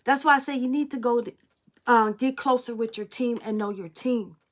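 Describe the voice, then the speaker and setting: A woman's voice: fairly high pitch, normal speed. A young adult woman speaking in a casual spiritual monologue as a spiritual reader, blunt and emphatic, swearing freely.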